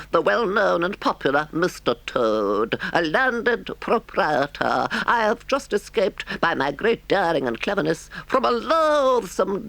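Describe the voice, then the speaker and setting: A vain storybook toad, pitched far above an ordinary speaking voice. He is pompous and melodramatic, wheedling and feeling thoroughly sorry for himself. The sound is close and dry, every plea swelled up theatrically. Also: creaky, sharp tone, dry recording, heavily acted. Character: vain, self-pitying male toad, melodramatic, pleading. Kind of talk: storybook character voice.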